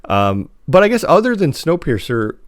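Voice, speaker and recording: A man hosting a casual podcast chat, talking in a halting way with repeated words and restarts. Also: fairly high pitch, sing-song, no echo. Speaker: podcast host and editor.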